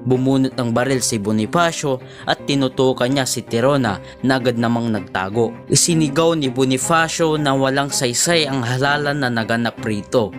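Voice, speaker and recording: A man reads a documentary narration in an even, formal voice of middle pitch. The clip is played from a video, with soft guitar music underneath.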